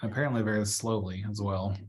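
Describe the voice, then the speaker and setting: Man casually talking through a software demo. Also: fairly low pitch, steady tone, a little fast. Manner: chatty, informal, thinking aloud as he works. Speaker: real estate trainer. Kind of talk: casual live software walkthrough.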